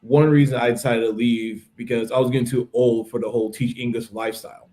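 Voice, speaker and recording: A man's voice: mid-range voice, fairly even intonation, fast talker, warm tone. An American man in his thirties talking casually in a conversational interview, explaining things in a chatty way. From United States. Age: 30s. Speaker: podcast participant, English teacher.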